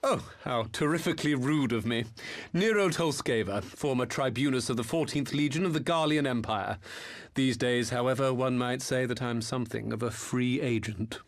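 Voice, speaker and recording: A man's voice: mid-range voice, moderate pace, lightly acted. A man playing a smug, theatrical former imperial officer and master engineer in a video game, mocking with grandiose courtesy. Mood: smooth, theatrical. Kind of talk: video game cutscene acting.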